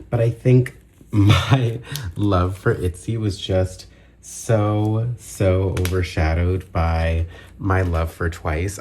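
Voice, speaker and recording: Male hobby-channel host, low register, getting over a cold, casual Q&A chat, faint background noise. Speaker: YouTube channel host, collector. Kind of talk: casual chatty vlog with Q&A.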